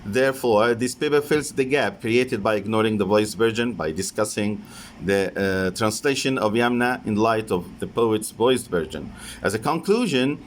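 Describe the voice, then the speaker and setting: A man with an Egyptian accent, a native Arabic speaker, presents an academic paper as a professor, in a halting, hesitant delivery that often repeats phrases. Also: fairly low pitch, slight background noise. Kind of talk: academic conference lecture with slides.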